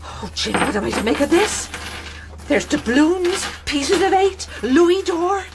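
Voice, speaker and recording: A woman's voice: very high-pitched, sing-song, measured pace, exaggerated voice. An actress playing an anxious, honest innkeeper mother, stern and fearful, in a radio drama. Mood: stern, urgent. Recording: noisy radio drama with clicks.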